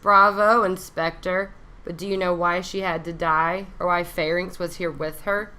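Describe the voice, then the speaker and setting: A woman plays a cunning noir femme fatale for a radio drama. Her voice sits a little low, with a bright, forceful edge, and her lines are dramatic and pointed. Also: steady tone, moderate pace, clean tone. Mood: defiant, knowing.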